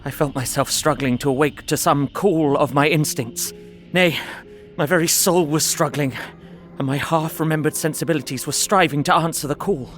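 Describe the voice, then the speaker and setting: A man's voice: a little high, normal speed, a bit bright, faint music behind, natural voice. A man playing a frightened, despairing young prisoner who narrates his journal earnestly and intensely in an audio drama. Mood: haunted.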